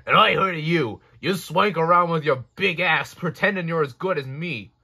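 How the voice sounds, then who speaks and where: Man putting on a loud, belligerent steamroller. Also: fairly high pitch, normal speed, gravelly, breathy edge, voice pushed up, full character voice. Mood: scornful. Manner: loud, taunting.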